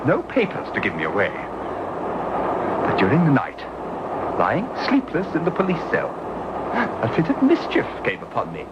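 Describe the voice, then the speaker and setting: An actor playing a cold, disdainful Victorian gentleman who recounts his crimes in a precise, haughty manner in a radio drama. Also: on the high side, wildly swooping pitch, a little slow, pauses often. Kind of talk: radio drama narration and acting. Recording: dull lo-fi old radio recording with loud hiss.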